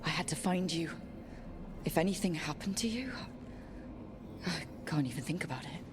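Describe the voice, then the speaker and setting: Frank, low-voiced adventurer heroine. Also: female voice, animated, moderate pace. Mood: worried, determined. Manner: earnest, reasoning, frank. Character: steady, caring, frank female adventurer talking a companion through pain. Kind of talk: dramatic video game voice acting.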